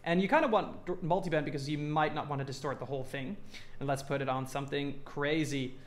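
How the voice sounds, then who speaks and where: A man's voice: on the high side, quick pace. A man giving a casual tutorial as a music production instructor, informally thinking aloud as he demonstrates.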